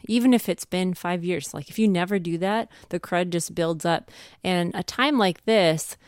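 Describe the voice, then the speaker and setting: A woman's voice: medium pitch, smooth, dry recording, studio quality. A woman in her thirties or forties sharing advice conversationally as a co-host, earnest and emphatic. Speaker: podcast co-host, homeschooling mother.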